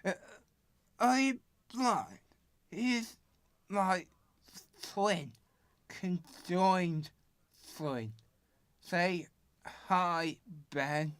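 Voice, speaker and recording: A man playing a nervous conjoined twin from a circus freak show, with a halting stammer, in an amateur radio drama. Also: sits high, fairly bright tone, no room sound, full character voice. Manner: halting stammer, broken into short pieces.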